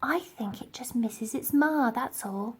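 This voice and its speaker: A woman's voice: on the high side, measured pace, slightly rough, pitched above the performer's own voice. A woman voicing a kind, plucky young girl in a children's storybook, earnest and determined. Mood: tender.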